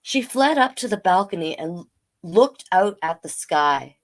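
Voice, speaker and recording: Slow-paced woman reading a children's story aloud. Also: on the low side, expressive. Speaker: children's storytime reader and host. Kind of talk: reading a picture book aloud with chatty asides.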